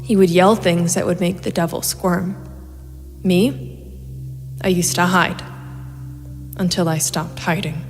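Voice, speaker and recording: A woman's voice: lowish voice, fairly even intonation, slow, long pauses, big-room sound. An actress playing a cold, methodical female private investigator and vigilante, narrating a noir audio drama in a hard-edged, matter-of-fact way. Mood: bitter, resolute. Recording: music bed behind the voice.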